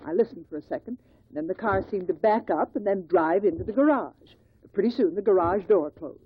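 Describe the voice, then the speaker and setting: A woman's voice: medium pitch, animated, brisk, a little hiss or hum, lightly acted. A woman playing a tart, defiant older American mother-in-law giving testimony in a 1950s radio drama, blunt and snappish. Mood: guarded. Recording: dull lo-fi 1950s radio recording.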